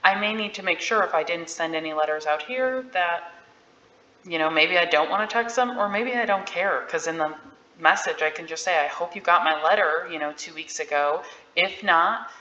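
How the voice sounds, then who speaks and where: A woman giving a casual, candid software walkthrough in an informal, unscripted way. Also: lowish voice, normal speed. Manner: informal, candid about not being an expert. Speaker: realtor giving a software tutorial. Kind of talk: casual screen-share walkthrough. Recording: dull, roomy-sounding audio.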